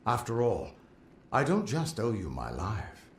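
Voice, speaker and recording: A man's voice: on the low side, huge pitch swings, unhurried, reverberant, slight background noise. A man playing a middle-aged, boastful but loyal veteran adventurer in a fantasy game, with a theatrical, earnest delivery. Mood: earnest, resolved. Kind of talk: fantasy game dialogue acting.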